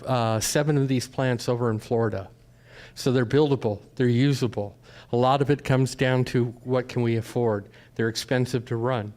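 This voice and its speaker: A man's voice: mid-range voice, a little mellow. Earnest man in his fifties at a forum. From California. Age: 50s. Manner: earnest, rambling, asks the room questions. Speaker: audience member. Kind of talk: public comment at a town forum.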